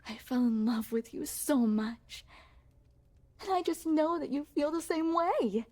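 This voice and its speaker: A woman's voice: high voice, expressive, unhurried, mellow. A woman playing a possessive, secretly shapeshifting queen in an ASMR roleplay, speaking formally and regally with doting menace. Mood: tender, lovestruck. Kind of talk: ASMR roleplay, scripted character acting addressed to the listener.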